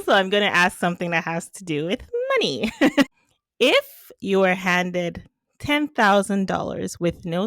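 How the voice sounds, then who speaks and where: A woman's voice: lowish voice, a bit bright, dry recording, studio quality. A woman whose first language is Yoruba, chatting thoughtfully as a podcast guest and laughing easily. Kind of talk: casual interview chat.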